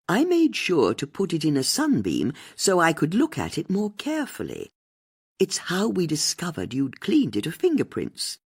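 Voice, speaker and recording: A woman's voice: low voice, a little mellow, slightly rough, exaggerated voice. A woman voicing a shrewd, triumphant older female amateur sleuth in an audiobook, speaking precisely and theatrically as if laying out a case. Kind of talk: audiobook character dialogue.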